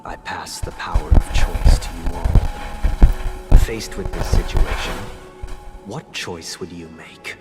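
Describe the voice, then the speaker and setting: A calm, well-spoken young man leads his listeners with formal, measured phrasing in a game cutscene. His voice sits a little low. Loud game music plays underneath. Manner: measured, formal, softly persuasive. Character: composed, eloquent young man leading others gently. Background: loud game soundtrack music.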